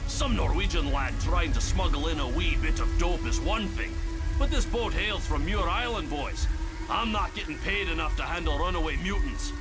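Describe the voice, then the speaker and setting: A man as a lively grumbling Scottish policeman. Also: fairly high pitch, moderate pace, lightly acted, poor audio. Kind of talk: motion comic voice acting. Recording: loud music and rain behind.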